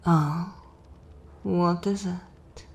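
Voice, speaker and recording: A woman's voice: on the low side, fairly even intonation. A woman voicing a seductive, imperious vampire mistress in an ASMR fantasy roleplay, commanding and coldly mocking, sometimes dropping into a whisper. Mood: mock-tender, ominous. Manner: slow, commanding, theatrical, breathy whispering at times.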